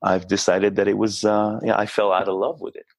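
Deep-voiced man chatting earnestly. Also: little pitch movement, fairly quick, gravelly. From Israel. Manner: earnest, chatty, frequent restarts and fillers. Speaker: guest, basketball coach. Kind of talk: casual interview chat.

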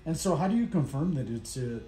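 A man's voice: on the high side, lively intonation, a little fast, dark tone, echoey room, a little hiss or hum, poor audio. A man working as a barber, chatting casually in an encouraging, slangy way while cutting hair. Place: indoor barbershop.

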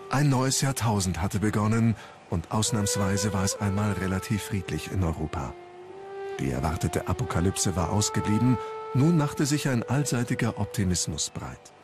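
Male documentary narrator, lowish register, measured storyteller reading from script, music bed underneath.

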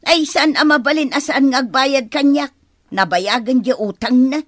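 Casting: nosy debt-collecting neighbour woman, Ilocano accent, high bright voice, exaggerated radio comedy delivery. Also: normal speed, no echo, clean studio sound. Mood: insistent. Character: gossipy, pushy neighbour woman collecting debts.

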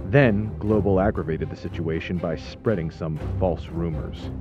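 A male assistant played by an actor reports in a businesslike audio drama tone. His voice is on the low side and slightly muffled, with music clearly playing behind. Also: normal speed, close to an everyday voice. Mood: matter-of-fact.

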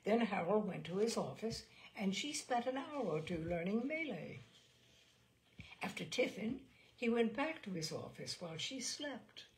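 A woman reading literary fiction aloud as a storyteller, in a measured, expressive audiobook style. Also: mid-range voice, slight background noise, poor audio.

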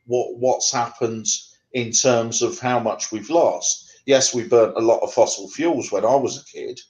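A man's voice: mid-range voice, fairly even intonation, a little slow, a little mellow, poor audio. Man reciting rhyming poetry on a video call. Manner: rhythmic rhyming recitation, then conversational with fillers. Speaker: poet guest. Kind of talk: poetry reading then interview answers. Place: home room.

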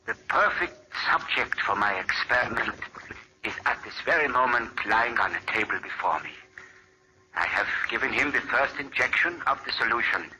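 A grave, remorseful scientist. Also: male voice, mid-range voice, animated, moderate pace, sharp tone, rough recording. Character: remorseful male scientist writing his journal. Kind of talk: 1940s radio acting. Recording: old radio recording with hiss.

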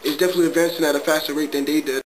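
A man's voice: fairly high pitch, fairly even intonation, rapid-fire, a little hiss or hum, rough recording. A young man from Ghana, a student, talking earnestly and reflectively to a close webcam in a small bedroom. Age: young adult. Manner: earnest, reflective, repeats himself. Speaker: student interviewee. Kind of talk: casual talk to camera.

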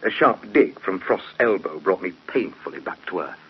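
A man's voice: low-pitched, expressive, normal speed, husky, full character voice. An actor with an English accent playing a young police detective who narrates his case in a radio drama. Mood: reflective narrating. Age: young adult. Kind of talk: 1970s radio drama first-person narration. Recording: muffled, humming old radio recording.

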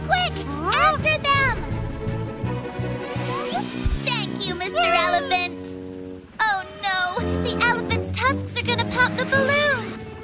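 A woman's voice: extremely high pitch, expressive, a little slow, over loud music. A woman voicing an eager, adventurous little girl who gives exclamatory commands in a children's cartoon. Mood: urgent.

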